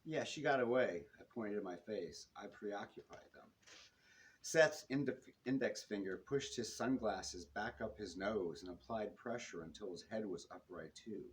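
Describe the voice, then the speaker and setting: A man reading his own detective novel to listeners, with expressive narration and the odd stumble. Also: on the low side, unhurried. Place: public reading in a bookstore. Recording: noisy live room recording.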